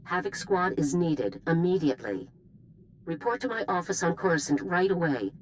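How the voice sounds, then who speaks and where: A woman's voice: fairly low pitch, expressive, moderate pace, slight background noise, lightly acted, poor audio. A woman playing a stern, formal, authoritative military general in a video game. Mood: stern, businesslike. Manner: formal, commanding, clipped. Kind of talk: scripted video game acting.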